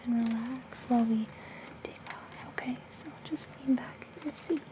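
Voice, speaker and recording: A woman whispering softly and breathily in a soothing, reassuring ASMR personal-attention roleplay, close to a binaural microphone. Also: on the high side, steady tone, moderate pace. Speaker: ASMR performer playing a space flight attendant guiding a sleep journey. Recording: close binaural with background hiss and clicks.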